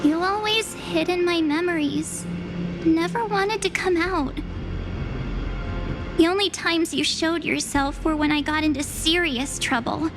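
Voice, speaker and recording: A woman playing an earnest, vulnerable young girl in a video game, with an expressive, girlish, pleading delivery. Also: extremely high pitch, a little slow. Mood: hurt, uneasy. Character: earnest, vulnerable teenage girl, protective of friends. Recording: music under the voice. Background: game music.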